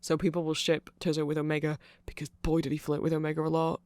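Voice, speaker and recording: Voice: medium pitch, monotone, fast talker, darkish tone, no echo. A person giving a chatty, rambling ASMR-style fan explainer in a playful, informal way. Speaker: ASMR creator explaining band lore.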